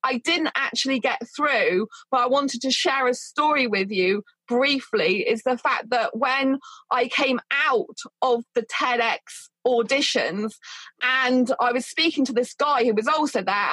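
Outspoken high-voiced woman advocate. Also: moderate pace, no room sound, rough recording. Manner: earnest, outspoken, loud voice. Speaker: asexuality advocate. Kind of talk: passionate interview talk.

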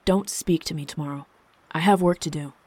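A woman's voice: deep voice, a little fast, darkish tone, husky, no room sound. A woman voicing a proud, wounded husband and king in a storybook, intense and indignant. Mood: angry, hurt. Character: proud, wounded husband and king, cool but hot-tempered.

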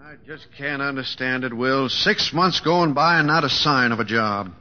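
An actor playing an excitable, insistent show-business booking agent in an old-time radio drama. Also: male voice, mid-range voice, moderate pace, soft background music. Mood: sympathetic. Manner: urgent, pushy, insistent. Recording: dull, echoey old radio recording.

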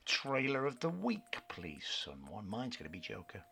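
A man's voice: fairly low pitch, wildly swooping pitch, normal speed, vocal fry, bright, forceful tone, a little hiss or hum. A middle-aged British man co-hosting a casual radio show, rambling and bantering as he chats about what he likes. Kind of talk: casual chat about films and books. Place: home studio in a book-lined study.

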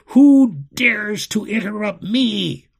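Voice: animated, slow, a bit bright. A storyteller voicing a grandiose, sinister male fire wizard, theatrical and commanding, in a children's fantasy story. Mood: furious, commanding. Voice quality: booming, shrieking.

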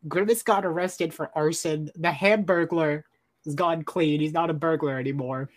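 A woman's voice: low voice, steady tone, moderate pace. A young woman co-hosting a podcast with her brother, trading quips and laughing a lot. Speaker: podcast co-host. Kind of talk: joking sibling banter.

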